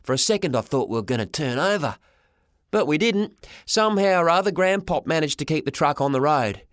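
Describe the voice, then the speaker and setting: A man narrating a children's story in the first person as an expressive audiobook reader. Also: fairly high pitch, brisk, dry recording, studio quality.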